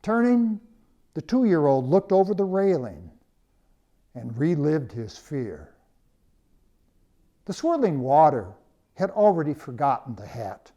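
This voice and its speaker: A man reading his own story as scripted narration, with measured, dramatic phrasing and pauses. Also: a little high, very animated, dark tone, lo-fi sound.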